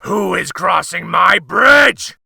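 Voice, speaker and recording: A man's voice: sits high, expressive, slow pace, vocal fry, pushed and bright, breathy edge, dry recording, raised voice, studio quality, voice pushed up, full character voice. A man voicing a hungry, crabby male troll who growls and snarls his lines. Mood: menacing.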